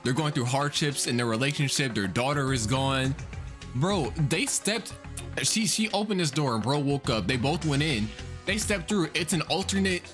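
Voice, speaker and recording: A man hosting a reaction video, excitedly talking through a horror story, swearing and thinking out loud. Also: fairly high pitch, moderate pace, music in the background. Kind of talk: casual reaction commentary.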